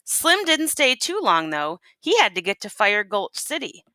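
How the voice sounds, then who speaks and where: A woman reading a picture book aloud as a teacher would, in an expressive, folksy storytelling manner. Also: a little high, a little slow, dry recording. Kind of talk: animated picture-book read-aloud to listeners.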